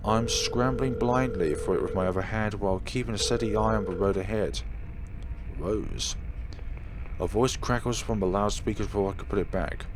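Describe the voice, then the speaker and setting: Guy with a low voice reading a horror visual novel out loud, dramatic, pretty noisy audio. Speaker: let's player reading a game aloud.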